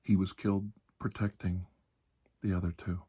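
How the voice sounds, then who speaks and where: A man playing a calm, kindly doctor in a soft-spoken ASMR roleplay, reassuring and deliberate, with long pauses and breathy slips into whispering. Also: low-pitched. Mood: hushed, confiding. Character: calm, kindly, ageless male doctor of alien medicine.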